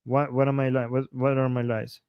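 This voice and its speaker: A man's voice: mid-range voice, level tone, a little fast, clean tone, dry recording, lo-fi sound. A man in his forties hosting a casual livestream, reading viewer comments aloud and reacting with playful, chuckling asides and frequent repetitions. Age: 40s. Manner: playful, chuckling, repeats himself while reacting to chat. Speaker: livestream host.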